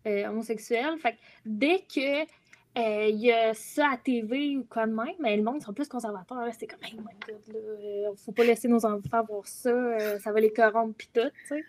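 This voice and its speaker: A woman's voice: fairly high pitch, steady tone, moderate pace. A young woman with a Quebec French accent, chatting casually in a group discussion on a video call from home. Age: young adult. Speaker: guest columnist on a youth talk show.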